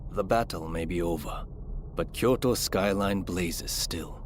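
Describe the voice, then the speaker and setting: An actor as a solemn chronicler giving scripted, dramatic historical narration for a video game. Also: male voice, low-pitched, measured pace, a little hiss or hum. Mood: solemn, grave.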